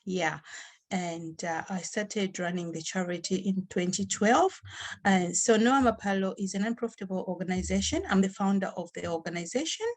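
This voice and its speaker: A woman with a Zambian accent answers interview questions off the cuff as a charity founder. Her pitch is medium. She talks in a halting way, often doubling back to repeat or reword a phrase.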